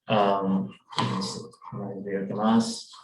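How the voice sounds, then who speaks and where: Slow, flat-voiced Brazilian man. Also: low voice, reverberant, low-quality recording. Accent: Brazilian Portuguese. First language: Portuguese. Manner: hesitant, many drawn-out fillers. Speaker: participant reporting for a working group. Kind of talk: group report in a video meeting.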